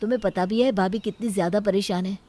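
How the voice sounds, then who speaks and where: A woman's voice: mid-range voice, steady tone, fast, mellow, natural voice. A woman voicing a sensible, exasperated husband and younger brother-in-law, reasoning and reproachful, in a story.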